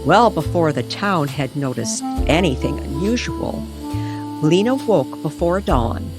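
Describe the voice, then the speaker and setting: A woman narrating an eerie scripted story in an old-time radio style, with deliberate, suspenseful delivery. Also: fairly low pitch.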